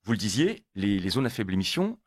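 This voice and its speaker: A man's voice: lowish voice, big pitch swings, fast, no echo, studio quality. A man born in Italy, a guest on a radio interview, talking emphatically and repeating words when pressed. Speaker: guest, motorcyclists' federation coordinator.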